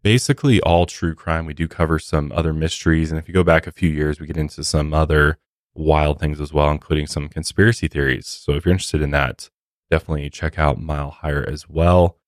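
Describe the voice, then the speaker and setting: A man's voice: a bass voice, flat delivery, a little fast, no echo, studio quality. A man with an American accent hosting a podcast, chatting casually to open the show. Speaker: podcast host. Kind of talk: casual podcast intro and chat. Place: podcast studio.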